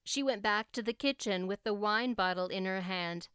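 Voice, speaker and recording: A woman's voice: mid-range voice, normal speed, fairly bright tone, smooth, no room sound, studio quality. A woman reading scripted story narration as an audiobook narrator.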